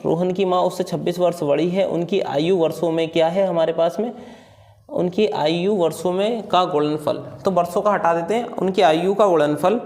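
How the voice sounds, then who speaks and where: A man with a fairly high voice gives an informal lesson in a very echoey room. He explains one step at a time, repeating himself and asking questions before answering them. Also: vocal fry, darkish tone. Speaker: maths teacher.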